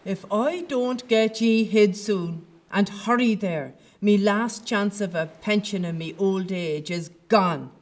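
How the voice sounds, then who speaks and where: A woman's voice: mid-range voice, slow pace, hardly any pauses, close to an everyday voice. A woman playing a grumbling, sly old Irish cowherd in an audio play, speaking in a colloquial, sardonic way with an Irish accent. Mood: harried, scolding.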